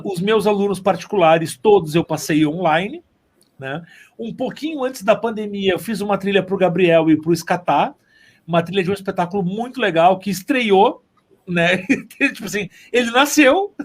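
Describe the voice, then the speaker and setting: Homem gaúcho de voz mais aguda. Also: male voice, on the high side. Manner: often tags phrases with "né". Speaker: interview guest, musician and music teacher. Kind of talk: casual conversational storytelling.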